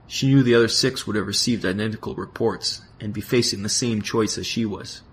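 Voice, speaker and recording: A man's voice: on the low side, fairly quick. A man reading scripted narration as the narrator of a science-fiction audio drama. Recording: faint background noise and ambience.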